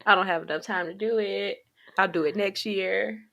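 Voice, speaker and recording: A woman's voice: mid-range voice, fairly even intonation, moderate pace, creaky. A woman co-hosting a faith podcast, talking casually and hesitantly, often trailing off. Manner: hesitant, rambling, trails off softly. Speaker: podcast co-host.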